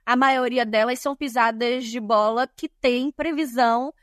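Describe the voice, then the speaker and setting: Bahian woman guest, high pitch, dry close sound, emphatic explainer. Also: fairly even intonation, normal speed, no echo. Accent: Bahian Brazilian Portuguese. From Bahia, Brazil. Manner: emphatic and didactic, lists points. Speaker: guest, diversity and compliance specialist. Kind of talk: podcast interview, explanatory.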